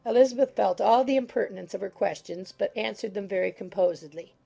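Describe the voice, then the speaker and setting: A woman's voice: medium pitch, fast, vocal fry, warm tone, breathy edge, soft background music, rough recording. A woman reading scripted literary narration for a dramatized audiobook.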